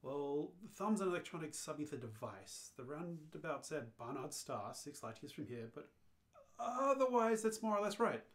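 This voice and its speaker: A man voicing a brisk, unfazed alien hitchhiker who guides a confused friend, in a radio play read-through. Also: on the high side, very animated. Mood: calm, explanatory. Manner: matter-of-fact, unfazed.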